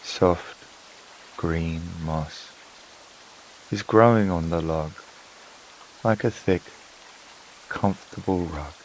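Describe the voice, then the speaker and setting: A man narrating a scripted sleep meditation in a calm, soothing, unhurried way, with long pauses between phrases. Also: sits low, slight background noise, rough recording. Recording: water and rain sounds behind voice. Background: flowing stream, rain-like ambience.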